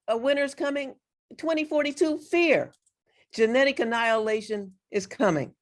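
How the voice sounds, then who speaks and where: A woman giving a lecture as a guest speaker, earnest, with her voice cracking from overuse. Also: a little high. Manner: earnest, reads quotes aloud, frequent restarts. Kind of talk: lecture presentation.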